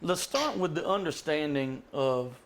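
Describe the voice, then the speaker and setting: Gravelly-voiced man hosting a podcast chat. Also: a little high, animated, measured pace, background noise. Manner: emphatic storyteller, quotes others in a raised voice. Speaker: jam session host and singer.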